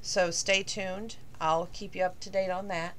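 A middle-aged woman, slightly hoarse from losing her voice, rambling casually in a talking-to-camera vlog with lots of fillers. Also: on the low side, flat delivery, a little slow, a bit bright, slight background noise. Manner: rambling, chatty, with many fillers. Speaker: vlogger.

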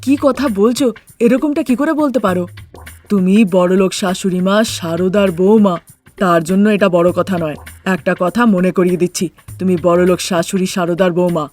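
Woman doing an indignant, lower-pitched young husband. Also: fairly even intonation, brisk, darkish tone, faint music behind, close to an everyday voice. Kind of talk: Bengali cartoon story acting.

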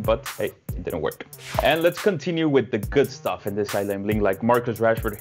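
A young man co-hosting a casual sports chat over a video call, wry and opinionated. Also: on the low side. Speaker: co-host. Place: home room, video call.

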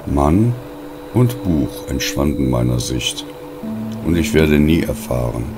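A man reading a scripted diary story as an audiobook narrator, in a measured, deliberate way with pauses between phrases. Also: extremely low pitch, lively intonation, over music.

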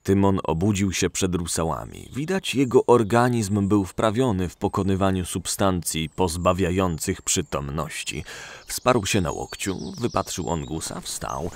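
A man narrates a scripted audio story in a very low voice. His delivery is lively and varied in pitch, with a creaky, gravelly edge. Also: a little slow, no room sound.

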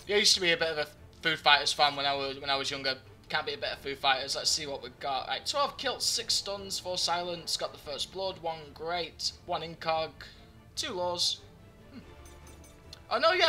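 A man's voice: on the high side, sharp tone. A young man giving live gameplay commentary, chatty and joking, his voice slightly groggy. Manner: chatty, joking, talks to himself while playing. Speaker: gaming commentator.

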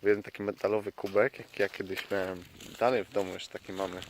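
Male travel vlogger, lowish voice, off-the-cuff chatty delivery, clearly noisy open-air sound. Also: level tone, fast talker. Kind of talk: casual vlog chat, unscripted. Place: outdoors by a lake. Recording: noisy outdoor recording with clicks.

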